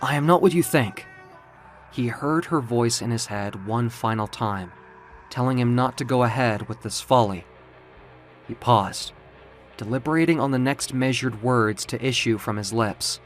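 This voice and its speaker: A man's voice: medium pitch, normal speed, faint music behind. A man narrating a scripted story in a theatrical, suspenseful way.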